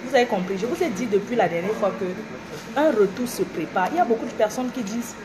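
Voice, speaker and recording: A woman's voice: a little high, expressive, fast. A woman from Cameroon with a Cameroonian French accent, lecturing her followers emphatically and repetitively in a live video from a train station. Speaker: social media personality. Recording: noisy station ambience behind her.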